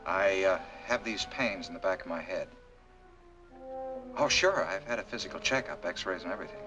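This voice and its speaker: An actor in a 1940s film playing a polite, hesitant man seeking a doctor's help, speaking haltingly. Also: sits low, animated, highs cut off. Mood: anxious, downcast. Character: polite, hesitant man posing as troubled patient. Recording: vintage film soundtrack, loud music behind.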